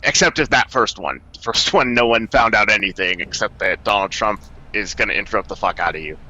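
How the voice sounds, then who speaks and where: Bright-voiced joking co-host, lo-fi sound. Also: male voice, fairly low pitch, a little fast. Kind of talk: casual chat.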